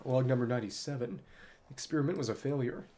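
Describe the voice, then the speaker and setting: A man's voice: mid-range voice, lively intonation, fast, warm tone, lightly acted. A man voicing an obsessive, defiant game inventor, reading log entries in lofty, formal language. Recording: clear background game noise.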